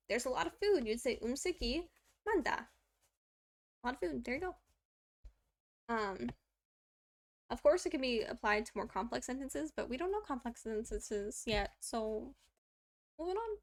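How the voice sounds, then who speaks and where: A woman's voice: animated, moderate pace, pauses often. A young woman streaming her Korean study, explaining grammar in a chatty, self-deprecating way with lots of fillers. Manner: talks to a pet in a high baby voice.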